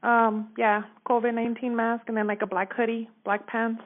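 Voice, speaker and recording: A woman's voice: a little high, level tone, normal speed. A woman giving a casual, informal account in a phone interview, often checking that she is understood. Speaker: store manager giving a witness account. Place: telephone call. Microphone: phone line. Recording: narrow muffled phone-line audio.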